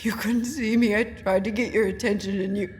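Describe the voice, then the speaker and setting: A woman's voice: medium pitch, steady tone, a little fast, a little mellow, a little hiss or hum, lightly acted. A woman acting in an audio drama as an anxious, weary, earnest young traveler, hesitant and plaintive. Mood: shaken.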